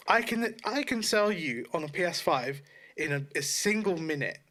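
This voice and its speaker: A man's voice: a little high, animated, moderate pace, a bit bright. A man co-hosting a podcast in casual chat, talking informally and correcting himself as he goes. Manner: informal, sweary, self-correcting. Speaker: podcast co-host.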